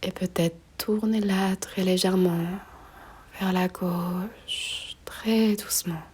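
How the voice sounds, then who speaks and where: A woman guiding a slow meditation in a soft, breathy near-whisper, gently giving unhurried instructions with long pauses. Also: on the low side, steady tone, slight background noise. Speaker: yoga nidra guide.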